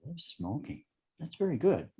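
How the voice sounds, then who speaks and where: A man playing an inquisitive, smooth ad man in an amateur table read of a TV drama, conversational and probing. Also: on the high side, very animated, normal speed, a little mellow, highs cut off, full character voice. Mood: curious, casual.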